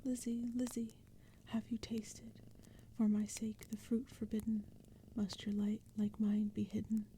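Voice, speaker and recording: A woman voicing devoted, impassioned young sisters from a storybook poem in a breathy, pleading whisper. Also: mid-range voice, unhurried, close to an everyday voice. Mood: anguished pleading. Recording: steady background ambience noise. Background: crackling fire and forest sounds.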